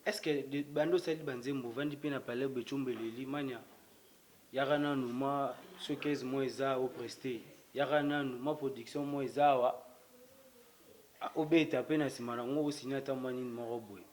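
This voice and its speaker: A man's voice: medium pitch, fairly even intonation, normal speed. Man asking casual interview questions.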